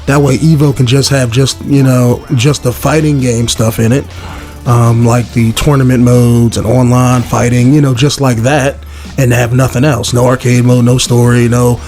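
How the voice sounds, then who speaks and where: A young man with a hoarse voice, giving a casual, rambling gaming commentary full of fillers and restarts. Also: mid-range voice, level tone, normal speed, darkish tone, faint music behind. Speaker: gaming video host.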